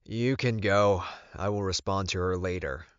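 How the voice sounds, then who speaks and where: A man's voice: low-pitched, unhurried, clean tone, no room sound. A man voicing a commanding, short-tempered male werewolf alpha in an audiobook, emphatic and authoritative. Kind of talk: audiobook character voice. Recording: muffled, dull recording.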